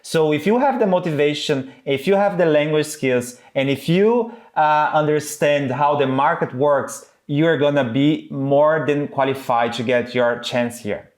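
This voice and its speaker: Male co-host and career coach, Brazilian accent, slightly high voice, non-native English phrasing, explainer-video delivery. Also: measured pace, few pauses. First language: Portuguese. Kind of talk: explanatory video guide to camera.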